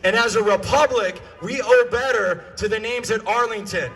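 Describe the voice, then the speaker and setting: A man's voice: sits high, moderate pace. An American man delivering an impassioned political rally speech, projecting his voice and repeating key phrases for emphasis. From United States. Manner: projected, often shouting. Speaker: political activist addressing a rally.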